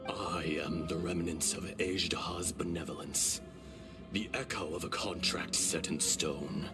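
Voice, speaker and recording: A man voicing the gentle, resigned remnant of an ancient dragon in a fantasy game, speaking formally and with measured solemnity. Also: sits low, steady tone. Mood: solemn, resolute. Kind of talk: scripted fantasy game voice acting. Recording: loud music behind the voice.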